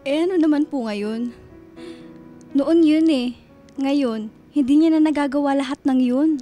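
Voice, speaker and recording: An actress playing a wounded, bitter teenage daughter with a Filipino accent in a radio drama. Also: female voice, high-pitched, a little slow, dark tone, faint music behind. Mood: weary, resentful. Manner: accusing, bitter, emotional.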